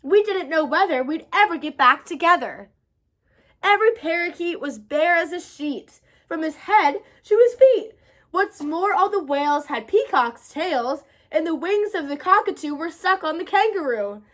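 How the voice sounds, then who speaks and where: A woman acts out a panicky parrot from a picture book, urgent and pleading. Its voice is shrill and high, with big swoops. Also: animated, moderate pace, fairly bright tone. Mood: alarmed.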